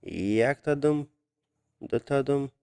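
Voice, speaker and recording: A man's voice: mid-range voice, animated, slow pace, vocal fry, dark tone, smooth. A young man chatting casually on a live stream about drawing viewers' requests, speaking hesitantly with many fillers and Russian words mixed in, recorded on a phone.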